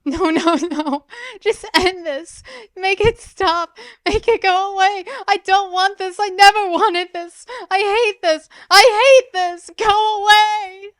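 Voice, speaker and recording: Panicked teen girl, high strained screaming, woman reading it. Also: steady tone, slow pace, fairly bright tone, dry recording, clean studio sound, exaggerated voice. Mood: anguished screaming. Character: anguished teenage girl in panic.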